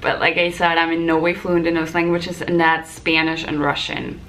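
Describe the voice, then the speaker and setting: A woman's voice: sits low, level tone, normal speed, fairly bright tone. A woman in her twenties, a native German speaker, chatting casually and explaining things to camera in a question-and-answer vlog. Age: 20s. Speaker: vlogger, flight attendant. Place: small hotel room, indoors. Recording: clear background room noise.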